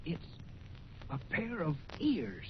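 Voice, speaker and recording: A muffled, hissy old radio recording carries a grouchy prospector. His high voice leaps around with blustering indignation in a folksy western drawl. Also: huge pitch swings, takes it slowly, full character voice. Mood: agitated. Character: grouchy, excitable Old West prospector, camp cook.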